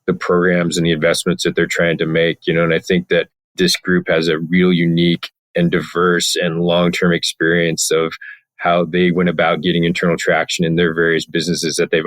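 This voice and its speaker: A man with a deep, level voice talks through his thoughts as a sustainability partner. He rambles, restarts often, and leans on fillers. The sound is dry and close. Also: dry recording. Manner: rambling, frequent "you know" fillers. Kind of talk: conversational panel talk.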